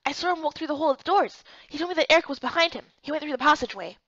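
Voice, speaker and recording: Expressive high-voiced woman narrating fantasy. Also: racing, no echo, lo-fi sound. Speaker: narrator and voice actor for a visual novel playthrough. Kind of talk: expressive first-person scripted narration of a fantasy story.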